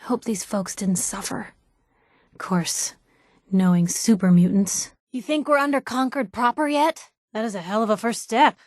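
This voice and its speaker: A young woman with an American accent playing a plucky, wisecracking reporter companion in a video game, with a wry, expressive delivery. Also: on the high side, moderate pace, no echo, studio quality, lightly acted. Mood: wry, musing. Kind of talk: video game voice acting, in-character banter.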